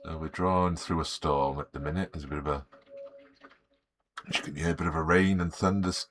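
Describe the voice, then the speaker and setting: A middle-aged man with a Welsh accent talks in a deep, low register, his voice a little croaky from a sore throat. He chats in an easygoing way and greets viewers one by one as he works. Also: steady tone, a little fast, a bit bright. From Wales. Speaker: artist streaming live. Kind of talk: relaxed live-stream chat while drawing.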